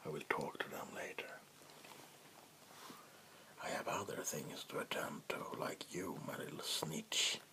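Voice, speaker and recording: A man playing a menacing, smug vampire king in a soft-spoken ASMR roleplay, slow and taunting with mock politeness. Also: rumbling low, heavily acted. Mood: smug, commanding. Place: small indoor room. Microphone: close mic.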